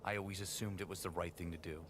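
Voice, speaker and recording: A man's voice: sits low, fairly even intonation, fast, a bit bright, slight background noise. A man acting a weary, self-doubting hero in a fan-made science fantasy audio drama. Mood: doubtful, earnest. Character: weary, self-doubting heroic young male Titan guardian.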